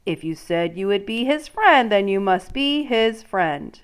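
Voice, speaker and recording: A woman voicing a stern, principled fairy-tale king and father, speaking in a measured, firm, admonishing manner. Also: mid-range voice, expressive, clean tone, close to an everyday voice.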